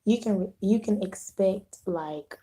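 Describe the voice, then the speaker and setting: Casting: hoarse woman vlogger, slow easy delivery, mellow dark tone, casual live chat. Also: mid-range voice. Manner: unhurried, rambling, clears throat, frequent restarts. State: hoarse, losing her voice, sore throat. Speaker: vlogger hosting a live video.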